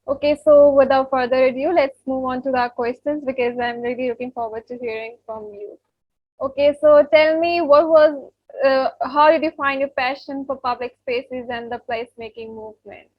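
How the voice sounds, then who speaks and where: A woman's voice: high voice, steady tone, moderate pace, no room sound, dull audio. A woman from Pakistan hosting an interview, speaking hesitantly with many fillers. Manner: warm-hearted, hesitant with fillers. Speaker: podcast host.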